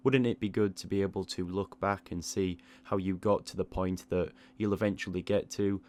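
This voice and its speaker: Low-voiced man giving reflective advice. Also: steady tone, moderate pace, darkish tone. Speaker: online art channel creator. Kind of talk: reflective advice monologue, audio log.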